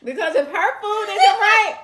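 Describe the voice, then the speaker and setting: A woman's voice: very high-pitched, animated, unhurried, fairly bright tone. A middle-aged woman co-hosting a casual chat with her daughter, teasing and laughing often. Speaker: co-host, mother of co-host. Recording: echoey room.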